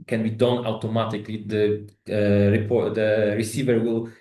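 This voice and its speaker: Low-voiced man explaining in an echoey room. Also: flat delivery, dark tone. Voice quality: halting, hedging delivery with frequent "let's say". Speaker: company product expert answering questions. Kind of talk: webinar technical explanation. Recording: roomy, echoing room sound.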